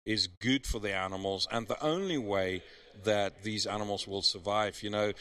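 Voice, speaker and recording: A man's voice: lowish voice, normal speed, fairly bright tone, clear, resonant tone. A man who is a professional hunter and is not a native English speaker, talking earnestly and persuasively in an interview with frequent restarts. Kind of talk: casual interview talk.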